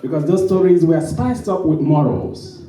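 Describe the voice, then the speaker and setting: A man's voice: a little high, lively intonation, dark tone. Man, storyteller, emphatic public speech, echoey room, distant mic. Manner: halting with fillers, then emphatic and preachy. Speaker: storyteller speaking at a summit.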